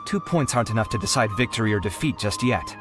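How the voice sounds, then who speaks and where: A man voicing a proud, touchy, dramatic young man in a video game, expressive and huffy. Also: fairly low pitch, fast talker, music in the background, lightly acted. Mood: defiant, recovering. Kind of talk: animated video game voice acting.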